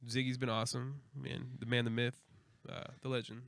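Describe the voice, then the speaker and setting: A man co-hosting a casual beer podcast, talking in a relaxed, rambling way with lots of fillers. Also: medium pitch, fairly quick, creaky, dry recording. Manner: laid-back, rambling with fillers. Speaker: podcast co-host. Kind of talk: casual chat over beers.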